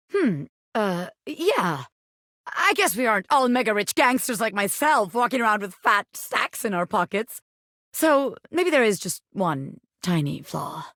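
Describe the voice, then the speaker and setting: A woman's voice: medium pitch, fairly bright tone, dry recording, studio quality. A woman voicing a cocky, self-important bobby pin who fancies itself a crime boss in a video game, swaggering, slangy and boastful. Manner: swaggering, slangy, boastful, peppering talk with pet phrases. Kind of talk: video game character voice acting.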